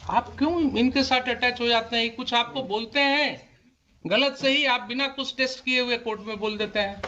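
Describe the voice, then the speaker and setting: Indian man as presiding judge. Sharp, unusually high voice, admonishing, rhetorical, code-switching, over faint noise. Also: steady tone, normal speed. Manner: stern, admonishing, rhetorical questions; switches between Hindi and English. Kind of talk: courtroom questioning and oral directions. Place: courtroom. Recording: muffled courtroom audio, faint noise, clicks.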